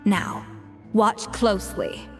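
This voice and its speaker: A woman's voice: mid-range voice, slow, big-room sound, soft background music, natural voice. A woman playing an exacting, confident young master chef in an anime-style video game, precise, formal and commanding.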